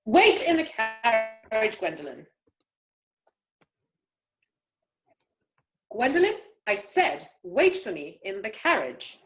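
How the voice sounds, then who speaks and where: A haughty society mother played by a woman, imperious and disapproving, with a high voice that swoops emphatically. Every phrase is a command, in the manner of a stage comedy read out on a video call. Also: very animated, measured pace, a bit bright, soft background music, rough recording. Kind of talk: amateur play read-through.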